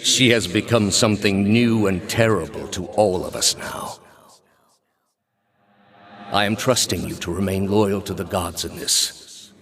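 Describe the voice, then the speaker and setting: A very low male voice for a video game high priest. He leads a religious order with solemn zeal, choosing his words formally and with measured care. Quiet menace sits under every sentence. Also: faint music behind. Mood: quietly threatening. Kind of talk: dramatic video game character dialogue.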